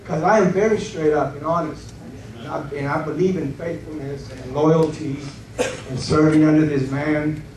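A man giving a candid, earnest personal testimony to a congregation, speaking haltingly. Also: on the high side, steady tone. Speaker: program member giving testimony. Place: church hall. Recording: echoey room from far off with noise.

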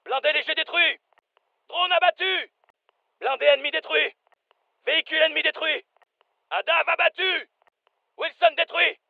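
An actor playing a brisk special forces soldier, giving clipped, commanding combat callouts for a dubbed military game. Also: male voice, extremely high pitch, gravelly, no room sound, phone-quality sound. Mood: urgent.

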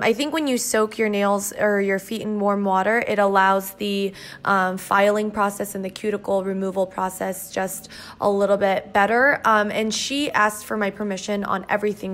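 A woman's voice: mid-range voice, a bit bright. A woman in her twenties chatting informally as a vlogger, casually narrating and peppering her sentences with "like". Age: 20s. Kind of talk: casual vlog chat and narration.

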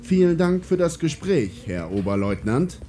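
A man's voice: a little high, sing-song, normal speed, warm tone. Man playing a calculating commander, noisy background. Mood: diplomatic. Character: calculating male police commander, diplomatic, self-protecting. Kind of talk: German audio drama acting.

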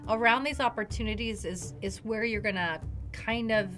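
A middle-aged woman gives a casual tarot reading. Her voice is bright and a little high, and she talks in an animated, conversational way. Faint music plays behind her. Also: normal speed. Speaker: tarot card reader. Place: dark indoor studio, seated at a table.

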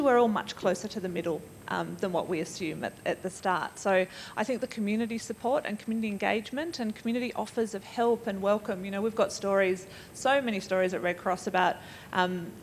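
A woman's voice: mid-range voice, slight background noise. A woman, speaking as a panellist in a discussion on stage into a handheld microphone. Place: stage in an auditorium with audience.

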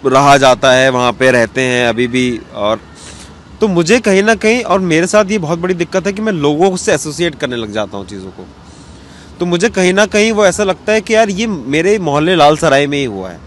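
A man's voice: a little high, expressive, normal speed. A man in his thirties speaking earnestly and casually as an interview guest, mixing English words into his speech. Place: outdoors in an open courtyard. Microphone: handheld small microphone. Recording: faint outdoor street background noise.

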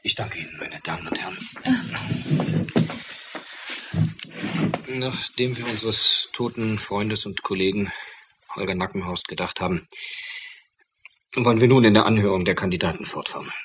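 A man's voice: on the low side, brisk, noisy background, lightly acted. An actor playing a wry, pompous academic committee chairman with formal, florid manners in a radio drama. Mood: solemn, formal.

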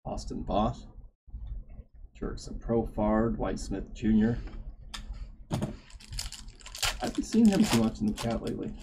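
A man in his thirties hosting a live card-breaking stream, reading names in a low running murmur, a little hoarse. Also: warm tone, slight background noise, rough recording. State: slightly hoarse. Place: small card shop studio.

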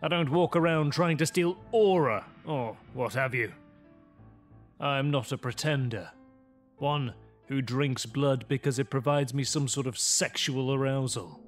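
An actor plays an ancient male vampire, a cold and arrogant predator in a gothic audio drama. He speaks slowly and haughtily, with menace in each measured phrase. Music plays behind his voice. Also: mid-range voice, lightly acted. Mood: cold, predatory.

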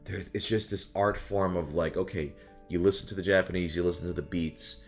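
A young adult man, a voice actor, chatting casually to camera in an animated way at home. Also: low-pitched, fairly quick, faint music behind. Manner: animated, slips into exaggerated character voices. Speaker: voice actor and video host. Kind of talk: casual chat to camera about voice roles. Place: small furnished room at home, indoors.

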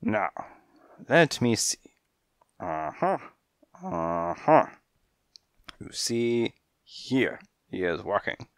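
A man's voice: mid-range voice, sing-song, vocal fry, no room sound, studio quality, pitched above the performer's own voice, heavily acted. A man voicing a dramatic lady cat fortune-teller. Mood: explaining. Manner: broken English, dramatic, quick to take offence. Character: theatrical female cat fortune-teller, touchy and grandiose. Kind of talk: storybook character voice.

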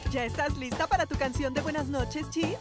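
A woman voicing a caring, patient mother pug in a preschool cartoon, tender and coaxing, over loud music. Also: high voice, steady tone, moderate pace, lightly acted.

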